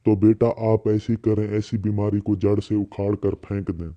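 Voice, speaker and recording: A man's voice: lowish voice, level tone, normal speed, noisy background, close to an everyday voice. A man playing a paternal, instructing older doctor in a cartoon, on muffled audio. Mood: advising. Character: paternal older male doctor, advising, easily annoyed. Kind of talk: cartoon dialogue.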